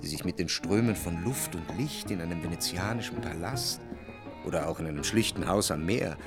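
A man's voice: low-pitched, animated, fairly quick, loud music behind the voice. A man narrating a literary radio drama in a reflective, earnest manner, recorded close in a studio. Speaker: first-person narrator. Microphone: close, dry studio.

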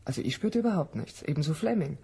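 A woman acting a brisk, sceptical research scientist in a 1970 radio drama, precise and matter-of-fact, in standard German. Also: low voice, expressive, vocal fry, dark tone, breathy edge, exaggerated voice. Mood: clinical, sceptical.

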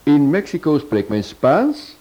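A man's voice: fairly high pitch, huge pitch swings, slow pace, dark tone, heavily acted. A man playing a suave witty crime novelist. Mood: calm. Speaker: detective novelist. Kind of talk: Dutch radio drama acting.

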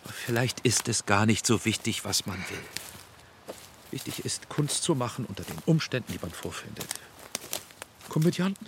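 Proud middle-aged male director, self-justifying, wide swings of pitch, slight background noise. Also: sing-song, normal speed, long pauses. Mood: insistent. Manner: defensive, argumentative. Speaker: actor in a radio play. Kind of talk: German radio drama acting.

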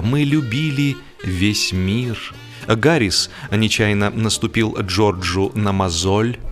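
A male audiobook narrator with a mid-pitched voice. He delivers comic literary prose in a measured, theatrical way, with mock solemnity, over faint music. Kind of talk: scripted humorous literary narration.

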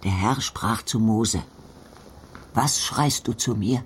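A man's voice: fairly low pitch, takes it slowly, husky, full character voice. An actor playing a devout, firm old village grandmother, speaking emphatically in an audio drama. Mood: solemn, dramatic. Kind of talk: audio drama dialogue and Bible reading.

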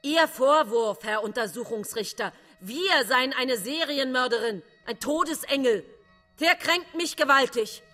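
An actress in a radio drama monologue, playing a widowed woman accused of poisoning who speaks deliberately and confidingly, calculating and justifying herself. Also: sits high, expressive, pushed and bright, soft background music, exaggerated voice. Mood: self-justifying, defensive. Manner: confiding, deliberate, shifting between whisper and voice.

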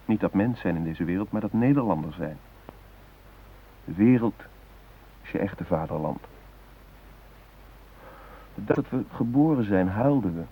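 A man acting an earnest, guilt-ridden humanist in a radio play, musing in deliberate, introspective phrases. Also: lowish voice, expressive, breathy edge, narrow, tinny audio. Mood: reflective, tender. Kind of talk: radio drama monologue. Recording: hissy old radio play recording.

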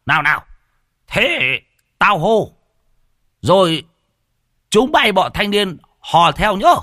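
A man voicing a rowdy old village man who leads drinking toasts, loud and bossy, with curses. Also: sits high, big pitch swings, slow, no echo, studio quality, pitched above the performer's own voice, full character voice. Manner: loud, bossy, cursing, shouting chants.

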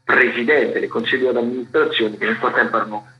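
Halting man on a dull radio link. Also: medium pitch, steady tone. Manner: halting, self-correcting, wordy. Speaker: theatre critic, radio contributor. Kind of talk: spontaneous radio commentary. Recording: dull, band-limited remote radio link.

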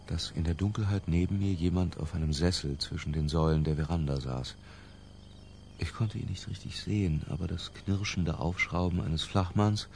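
Obsessive middle-aged guy narrating a radio play, really low creaky voice, hushed and deliberate. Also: slight background noise. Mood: tense, brooding. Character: obsessive, tense middle-aged man confessing in retrospect. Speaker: narrator and lead character of a radio drama. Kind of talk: literary first-person radio drama narration.

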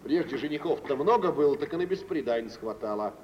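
An actor in 1950s radio drama playing a brisk, self-assured wealthy young merchant with a breezy, worldly manner. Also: male voice, medium pitch, expressive, lightly acted. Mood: matter-of-fact, gossipy. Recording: dull, noisy, echoey old radio recording.

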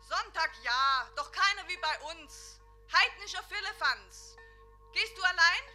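Very high, piercing pastor's wife. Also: female voice, lively intonation, measured pace, sharp tone, soft background music, exaggerated voice. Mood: worried, disapproving. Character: anxious, disapproving pastor's wife calling after him. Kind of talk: German radio play acting.

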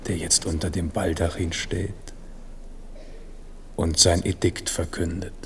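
Thoughtful monarch, low, very even intonation, weighty pauses, faint noise, radio play. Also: male voice. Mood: solemn, wistful. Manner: intimate, weighty, pausing monologue. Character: ageing, reflective King Henri of France. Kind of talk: 1970s German radio drama acting.

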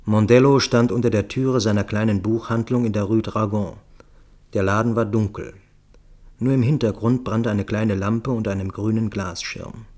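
A man reading scripted literary narration for a radio play in a measured, precise way. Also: lowish voice, fairly even intonation, a little mellow.

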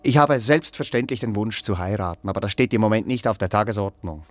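A man's voice: on the low side, animated, quick pace, faint music behind, lightly acted. Actor as a pompous, lecturing man in reverberant hall. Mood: self-important, hesitant. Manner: stilted, pedantic, lecturing. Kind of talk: German radio play acting.

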